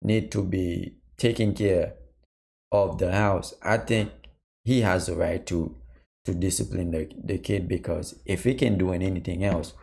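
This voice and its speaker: A man's voice: deep voice, fairly even intonation, unhurried, vocal fry, darkish tone. A man hosting a reaction video, commenting on a clip and putting questions to his viewers. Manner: thinking aloud, posing questions to viewers.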